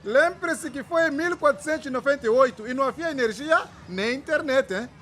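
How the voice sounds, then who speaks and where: Very high-pitched keen young-teacher figure. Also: male voice, brisk, pushed and bright. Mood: firm, correcting. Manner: instructive, checking with questions. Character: keen, patient male trainee school history teacher. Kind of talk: radio drama acting. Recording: faint background noise.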